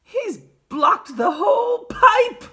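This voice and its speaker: A marvelling old storybook grandfather, put on by a reader. He draws his words out very slowly in a high, wavering voice of wonder. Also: big pitch swings, fairly bright tone, full character voice. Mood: amazed. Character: Grandpa Joe, slow marvelling old man.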